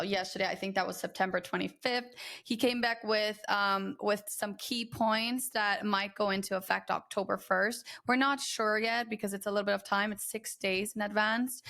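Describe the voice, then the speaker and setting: A woman hosting a trade podcast, chatty and rambling with many fillers, her voice slightly raspy from a recent illness. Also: mid-range voice, fairly bright tone. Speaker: podcast host. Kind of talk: casual podcast intro.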